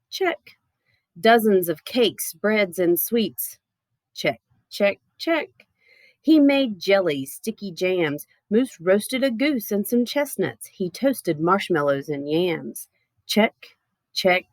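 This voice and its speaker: A woman reading a children's picture book aloud in a playful, expressive way with theatrical emphasis. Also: lowish voice, no room sound. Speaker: storybook reader.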